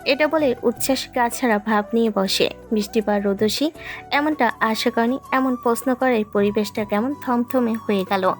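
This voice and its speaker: A woman narrates a romantic audio story in a fairly high voice. Her delivery is scripted storytelling, with music playing softly behind her. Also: moderate pace. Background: background music.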